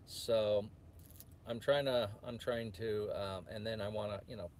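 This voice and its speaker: A man's voice: fairly low pitch, fairly even intonation, unhurried, darkish tone, slight background noise. A man chatting casually to viewers as a truck driver vlogger, rambling and often restarting his sentences in a hesitant, meandering way. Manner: hesitant, meandering, self-interrupting.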